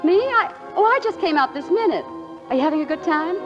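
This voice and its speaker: An actress playing a spirited, sarcastic, jealous young farm wife in 1940s radio comedy style. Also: female voice, very high voice, animated, brisk. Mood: feigned innocence. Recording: dull old radio recording with music.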